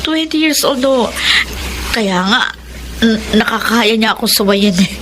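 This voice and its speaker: A woman's voice: on the high side, lively intonation, unhurried, music in the background. Flustered single mother. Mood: exasperated, chatty. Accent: Filipino. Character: flustered apologetic single mother of grown son. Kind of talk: radio drama acting.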